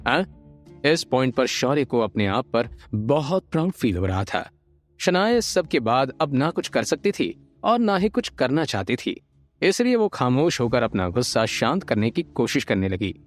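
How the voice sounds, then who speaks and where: A man narrating an audio drama from a script, expressive and building suspense. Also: mid-range voice, moderate pace. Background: light background music.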